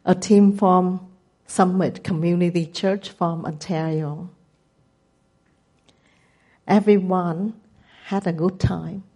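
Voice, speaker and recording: Slow, mellow Vietnamese-accented woman in her sixties. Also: fairly low pitch. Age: 60s. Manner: measured, careful non-native phrasing. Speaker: missionary. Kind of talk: mission testimony presentation.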